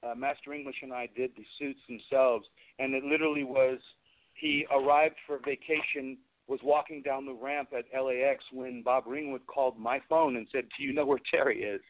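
A man's voice: mid-range voice, fairly even intonation, moderate pace. Man telling stories over a tinny phone line. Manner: chatty, recounts conversations in quoted dialogue. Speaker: guest, special effects craftsman. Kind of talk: anecdotal interview storytelling.

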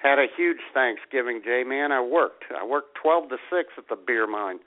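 An American man bantering casually as a talk show co-host, gravelly and wry. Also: medium pitch, lively intonation, normal speed. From United States. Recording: thin phone-like radio audio.

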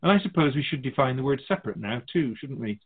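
A man's voice: mid-range voice, animated, quick pace, husky, phone-quality sound. A man playing a swooping, musing otherworldly soul keeper. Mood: lecturing. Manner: theatrical, musing, rhetorical questions. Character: otherworldly keeper of souls, wise, musing, teasing mentor. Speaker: spirit guide in a radio drama. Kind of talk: radio drama dialogue.

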